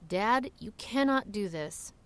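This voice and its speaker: An actress playing a worried, exasperated adult daughter in an audio drama, direct and insistent. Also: female voice, mid-range voice, expressive, takes it slowly, clear, resonant tone.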